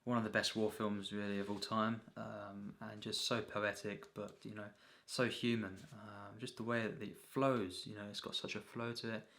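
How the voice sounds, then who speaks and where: Gravelly, flat-toned man reviewing a film. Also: fairly low pitch. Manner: halting, repetitive, frequent throat clearing and filler phrases. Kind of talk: unscripted, rambling film review.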